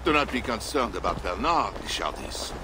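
A man's voice: lowish voice, big pitch swings, normal speed, background noise. A man playing a haughty, aggrieved Frankish king in a video game, pompous and theatrical in delivery. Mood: bitter menace. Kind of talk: dramatic game cutscene acting.

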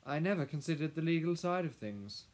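Man playing a principled gentleman professor, pitched up. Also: lively intonation, brisk, close to an everyday voice. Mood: measured.

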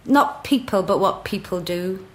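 A woman's voice: mid-range voice, lively intonation, unhurried, a bit bright. A middle-aged Welsh woman, a podcast guest, telling stories frankly with easy laughs. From Wales. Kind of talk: casual chat. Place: office.

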